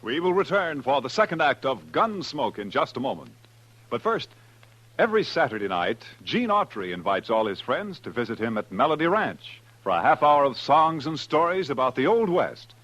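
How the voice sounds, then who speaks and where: A man's voice: mid-range voice, sing-song, normal speed, fairly bright tone, soft background music. A man announcing in a scripted 1950s network radio style. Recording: dull vintage radio broadcast.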